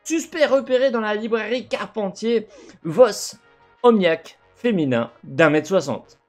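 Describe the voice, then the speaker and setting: Young male gaming host, headset mic, faint game music underneath, animated delivery. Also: high-pitched, unhurried. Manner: animated, talks with his hands, many fillers and restarts. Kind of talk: commentary over gameplay. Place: home room with gaming chair.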